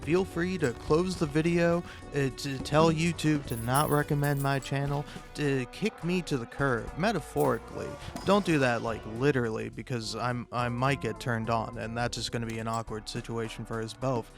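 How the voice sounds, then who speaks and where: A man's voice: medium pitch, fairly even intonation. A man with a mid-range voice talks straight to the listener in a loose, improvised gaming-video style. He rambles, stalls and cuts himself off, swearing casually and putting himself down for comic effect. Music plays behind him. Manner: rambling, halting and self-interrupting. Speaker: video game YouTuber. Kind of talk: improvised, self-deprecating comic intro monologue.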